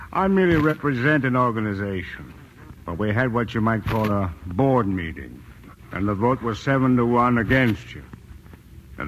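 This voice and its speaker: An actor playing a mock-polite, calmly threatening crime boss in a 1940s-style radio drama. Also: male voice, medium pitch, lightly acted, low-quality recording. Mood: cool menace. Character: smooth, menacing male crime syndicate boss. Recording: old radio recording, faint noise.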